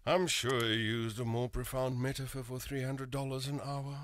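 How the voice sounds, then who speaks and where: A man's voice: mid-range voice, flat delivery, normal speed, fairly bright tone, slight background noise, natural voice. A man playing a vain, pompous celebrity author with a wry, suave manner in a comedic audio drama.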